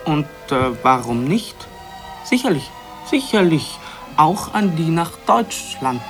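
A man playing a pensive, self-absorbed gentleman diner in an absurdist radio play, speaking hesitantly and deliberately. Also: a little high, big pitch swings, soft background music, heavily acted. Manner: hesitant, deliberate, ponderous with pauses.

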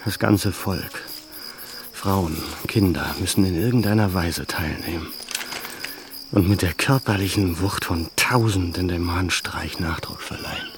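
An actor playing a resolute, commanding leader of a besieged mountain camp in a German radio play, speaking deliberately. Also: male voice, deep voice. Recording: clear cricket noise behind.

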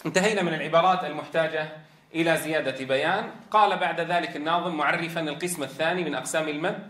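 A man with a Levantine accent and a congested, tired voice, teaching a recitation lesson with careful, deliberate enunciation. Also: fairly high pitch, fairly bright tone. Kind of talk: lesson explaining a memorised verse text. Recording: echoey room reverb.

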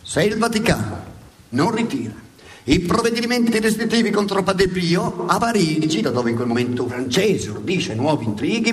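A man narrating a satirical theatre monologue in an emphatic, ironic way, repeating words for dramatic effect. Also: on the high side. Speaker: stage narrator. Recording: hissy radio theatre recording.

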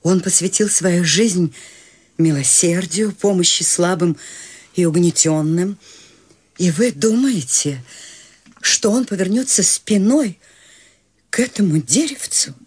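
Игривую пожилую женщину, низковатый голос, речь с размахом. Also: female voice, on the low side, takes it slowly, long pauses, husky, full character voice. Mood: pleading. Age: older. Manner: lively, teasing, grand. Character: eccentric free-spirited old woman Maude, playful. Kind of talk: radio play acting.

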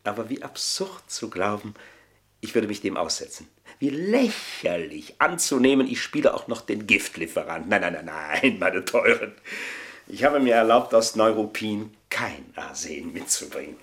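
An actor in a German radio play playing a scheming, gloating manservant with a pompous, mock-deferential, savouring delivery. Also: male voice, on the low side, very animated, normal speed, a bit bright.

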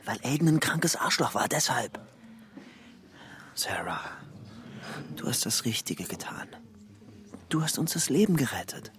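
An actor playing a weary young ex-convict on the run in a German crime radio drama, haunted but stubbornly hopeful, in a subdued, confessional way. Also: male voice, medium pitch, very animated, unhurried, long pauses, fairly bright tone, whispered. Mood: pleading. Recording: music bed under the voice.

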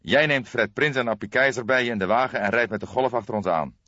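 A man's voice: mid-range voice, fast talker, pushed and bright, voice pushed up. A man voicing a stern, commanding old police detective in an audiobook. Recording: dull, roomy audiobook recording.

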